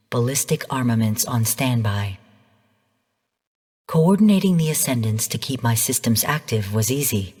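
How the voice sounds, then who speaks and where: An actress playing a female artificial intelligence in a video game, speaking in a measured, formal, clinical manner. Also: extremely low pitch, slightly rough, heavily acted. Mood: cold, menacing. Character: calm female rogue artificial intelligence mentor turned genocidal overseer. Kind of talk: scripted video game voice lines. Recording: long hall-like reverb.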